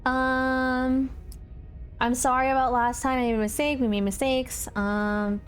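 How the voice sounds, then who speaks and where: A woman's voice: sits high, level tone, unhurried, faint music behind. A woman streaming a video game, commenting casually and reading dialogue choices aloud.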